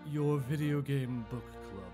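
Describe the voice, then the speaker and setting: A man's voice: medium pitch, measured pace. A man reading a scripted podcast promo in a theatrical, mock-sophisticated manner over music.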